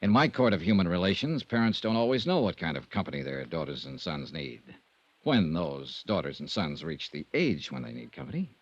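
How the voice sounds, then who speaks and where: A wry, unflappable male investigator from vintage radio drama. His lowish voice swings widely in pitch, yet he stays dry and laconic. The old recording is muffled. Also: big pitch swings, fairly quick, no echo, full character voice. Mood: wry, relaxed. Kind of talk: old-time radio detective acting, first-person narration.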